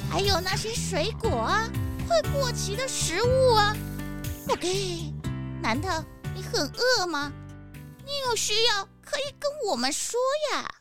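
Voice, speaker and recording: A woman voicing an excitable, indignant old hen shopkeeper in a children's audio drama. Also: very high-pitched, measured pace, over loud music. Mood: shocked, concerned.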